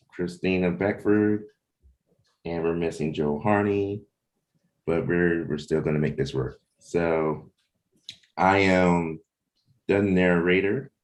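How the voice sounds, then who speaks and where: A man hosting a livestreamed table read in a casual, informal way, pausing between short phrases. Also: sits low. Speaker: host, comic book creator and narrator.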